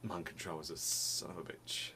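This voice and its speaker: Deep-voiced rambling young man, sniffly. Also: steady tone, moderate pace, pushed and bright, slight background noise. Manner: rambling, restarts phrases, laughs often. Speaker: vlogger. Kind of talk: casual chat to camera. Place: small home room with computer desk.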